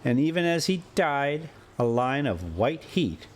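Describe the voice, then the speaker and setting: Slow, dramatic male story reader. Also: on the high side, big pitch swings, slight background noise. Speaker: host reading a classic story aloud while driving. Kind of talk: dramatic audiobook-style narration of an adventure story. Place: inside a moving motorhome.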